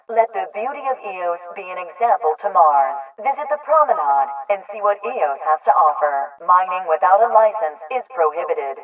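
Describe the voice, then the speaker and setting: Authoritarian female PA announcer, video game. Formal, calm, stern, impersonal read; tinny radio-filtered loudspeaker, heard from afar. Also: lowish voice. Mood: stern, official. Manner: formal, measured, official, impersonal. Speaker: public address announcer. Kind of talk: scripted propaganda broadcast in a video game. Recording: muffled, band-limited distant loudspeaker broadcast.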